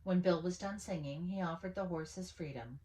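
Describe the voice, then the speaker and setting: A woman reading a picture book aloud as a storyteller, with animated, dramatic emphasis on key words. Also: on the low side, normal speed, rough recording. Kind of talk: expressive children's story read-aloud.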